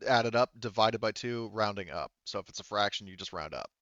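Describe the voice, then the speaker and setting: Male game master, deep-ish and clean-toned, halting and casual, close dry mic with slightly dull sound. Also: animated, fairly bright tone, no echo. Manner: halting, thinking aloud, casually profane. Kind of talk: casual rules talk and narration at an online tabletop game.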